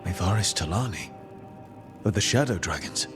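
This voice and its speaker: A man playing an earnest, level-headed hero in a cinematic fantasy game, speaking in a measured, wry, conversational way. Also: low-pitched, lively intonation. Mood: relieved, curious. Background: music.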